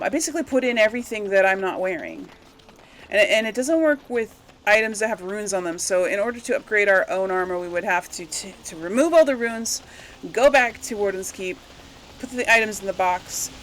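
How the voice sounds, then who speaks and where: A woman's voice: mid-range voice, moderate pace, a bit bright, a little hiss or hum, low-quality recording. A woman giving casual, rambling game commentary, absent-minded and thinking aloud as she plays. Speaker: gamer hosting a role-play playthrough series.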